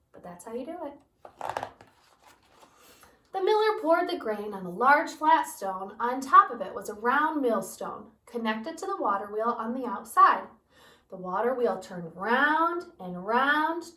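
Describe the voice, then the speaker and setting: A woman who teaches kindergarten reads a picture book aloud, pausing to explain things gently to young children. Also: fairly high pitch, far from the mic. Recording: echoey room, voice off-mic.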